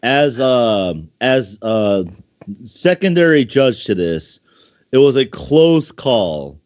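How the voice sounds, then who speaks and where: A man's voice: fairly low pitch, a little mellow, no echo. A man judging an online contest as co-host, chatting casually and without a script in a laid-back, joking way. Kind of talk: casual unscripted chat. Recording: dry, muffled lo-fi voice recording.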